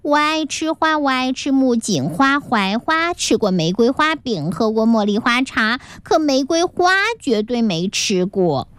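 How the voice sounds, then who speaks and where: A woman's voice: a little high, very animated, clear, resonant tone, no echo. A woman voicing a sulky, boastful little boy who takes offense easily, in a children's story. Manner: indignant, quick to take offense.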